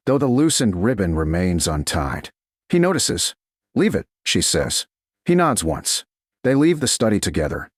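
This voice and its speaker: Male audiobook narrator for romance: low register, lively intonation, measured dramatic phrasing. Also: slightly rough, no room sound, studio quality. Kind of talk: scripted romance story narration.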